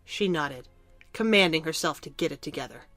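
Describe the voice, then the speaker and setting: Female audio drama narrator, slightly low voice, scripted storytelling with faint music underneath. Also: expressive, normal speed, a bit bright, no echo.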